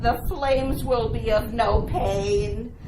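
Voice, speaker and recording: A woman's voice: fairly high pitch, steady tone, takes it slowly. A woman playing a possessed, vengeful wife in an old-time radio horror play, speaking in a solemn, archaic, dramatic way. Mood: eerie, resigned. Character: possessed wife, vengeful, doom-laden 18th-century spirit. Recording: roomy, distant pickup with faint noise.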